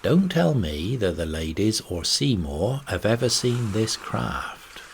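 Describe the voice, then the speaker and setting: Man playing a shrewd old sea captain, deep-voiced. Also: big pitch swings, slow, vocal fry, a little hiss or hum, full character voice. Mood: sly. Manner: salty nautical talk, emphatic.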